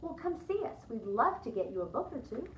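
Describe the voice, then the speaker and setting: A woman storytime reader with a fairly high, very animated voice. She narrates a children's book expressively and chats to young listeners, recorded in an echoey room. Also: fairly quick, distant mic. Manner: expressive, chatty asides to children. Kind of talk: animated children's read-aloud.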